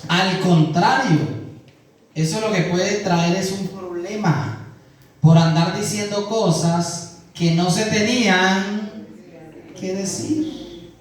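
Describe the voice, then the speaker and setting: A man's voice: sits high, slow. A middle-aged man with a Colombian Caribbean coast accent preaching a sermon as pastor, earnest and colloquial, asking the congregation questions. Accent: Colombian Caribbean coast (costeño). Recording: very echoey church hall, mic far off.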